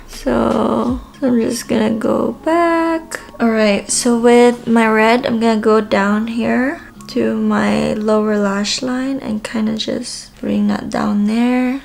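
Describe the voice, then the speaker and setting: Slow, gravelly Filipino-accented woman. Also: on the high side. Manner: relaxed, hesitant, with frequent ums. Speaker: makeup vlogger. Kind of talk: casual makeup tutorial talk.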